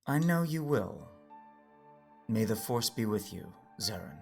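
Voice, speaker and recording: An actor playing a weary, guarded man with a dry humour and a husky voice in an audio drama. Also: fairly low pitch, big pitch swings, a little slow, a little mellow, faint music behind, full character voice. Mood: resigned.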